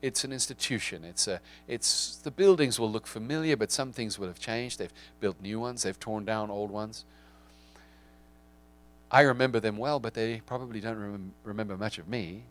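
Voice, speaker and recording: A man in his forties with a South African accent, giving a reflective sermon with frequent restarts and pauses. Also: on the low side. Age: 40s.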